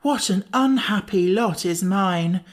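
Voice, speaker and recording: A woman's voice: medium pitch, takes it slowly, pitched above the performer's own voice, natural voice. A woman voicing an anxious, childlike pumpkin-headed man who whines about his fate. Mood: fearful. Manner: plaintive, whining.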